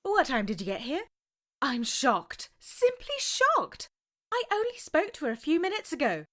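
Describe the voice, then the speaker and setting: Woman as a high, swooping, gossipy British cousin. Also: wildly swooping pitch, a little slow, fairly bright tone, exaggerated voice. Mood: blasé, gossipy. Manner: chatty, offhand. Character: flighty, blasé, gossipy female cousin being interviewed. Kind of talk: audio comedy sketch acting.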